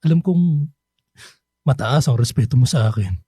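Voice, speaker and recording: An actor playing a remorseful middle-aged father in a radio drama, speaking haltingly and apologetically. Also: medium pitch, animated, breathy edge, no echo, clean studio sound. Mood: remorseful, pleading. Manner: halting, soft-spoken, apologetic. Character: remorseful middle-aged father, hesitant, pleading, hiding his true self.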